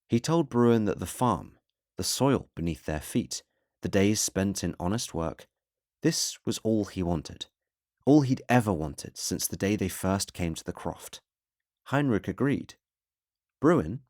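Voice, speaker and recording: A man with a deep voice narrates a fantasy tale as relaxing bedtime listening. His delivery is measured and solemn, with a literary storyteller's phrasing. The sound is very dry, free of room echo. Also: a little mellow.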